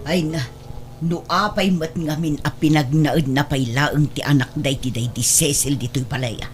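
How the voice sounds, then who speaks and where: A woman's voice: low-pitched, fairly even intonation, moderate pace, soft background music, close to an everyday voice. A woman with an Ilocano Filipino accent playing a bitter, resentful wife and stepmother, scolding in radio drama style. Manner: scolding, sharp-tongued.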